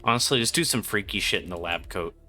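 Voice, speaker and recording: A man's voice: on the low side, quick pace, pushed and bright, faint music behind. A man giving casual live gaming commentary in a laid-back, joking, sweary way. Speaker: game streamer.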